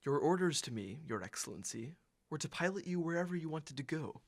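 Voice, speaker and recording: A man's voice: fairly high pitch, animated, brisk, creaky. A man playing an obedient pilot in a radio drama, speaking formally and hesitantly to his superior with meek deference. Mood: dutiful. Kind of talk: old-time radio drama acting.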